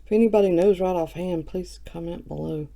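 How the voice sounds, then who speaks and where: A woman who has just woken up, with a low morning voice, chatting casually while opening trading cards on camera. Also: a little fast, creaky, warm tone. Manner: chatty and self-mocking, reading off card names. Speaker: card breaker. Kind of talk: casual card-opening vlog.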